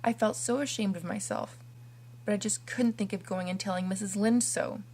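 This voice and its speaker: A woman's voice: medium pitch, moderate pace, a little mellow, lightly acted. A woman voicing an imaginative, dramatic orphan girl who talks earnestly and theatrically. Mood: thoughtful.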